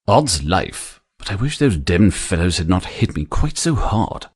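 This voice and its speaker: A woman's voice: very deep voice, big pitch swings, unhurried, slightly rough, exaggerated voice. A woman voicing a weary, good-humoured English baronet who speaks in sleepy, drawly, affected tones. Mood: languid. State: weak and exhausted. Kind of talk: audiobook character voice.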